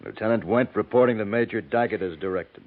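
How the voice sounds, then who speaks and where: A man plays a disgraced, bitter lieutenant in a radio western. His lowish voice is stiff, formal and cold, heard through a thin, tinny old recording with faint noise. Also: brisk, close to an everyday voice. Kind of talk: 1950s radio drama acting.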